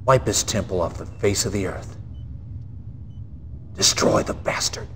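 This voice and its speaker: An actor playing a stern, earnest military general, giving a grave, emphatic mission briefing in a video game. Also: male voice, low voice, unhurried, fairly bright tone, slight background noise. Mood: grim, fierce. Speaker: military commanding officer.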